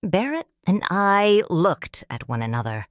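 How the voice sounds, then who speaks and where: Woman reading an audiobook, deep voice, lots of swing, very dry close mic. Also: sing-song, unhurried, no echo. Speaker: narrator. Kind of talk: audiobook narration, first person.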